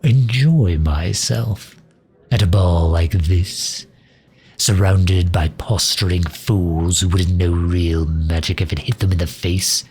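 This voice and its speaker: A man performs a loyal mage advisor in a fantasy ASMR roleplay. His voice is very low and husky, with plenty of breath in it. He speaks slowly, in a dry, sharp-tongued grumble that breaks into hesitations. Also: full character voice. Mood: sardonic, prickly. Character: sardonic, loyal male mage advisor to a demon ruler. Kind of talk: intimate ASMR roleplay acting.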